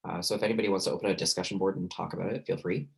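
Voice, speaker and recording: A man lecturing on a recorded class, hoarse and losing his voice, talking loosely with many fillers and restarts. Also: deep voice, steady tone, very fast. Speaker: university lecturer.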